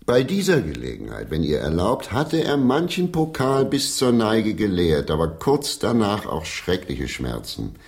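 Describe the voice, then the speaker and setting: A hearty old stage player in a period radio drama, sentimental and grandiloquent. His low voice sweeps widely up and down as he declaims dramatically. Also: wildly swooping pitch, measured pace, creaky, hardly any pauses, exaggerated voice. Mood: reminiscing, solemn.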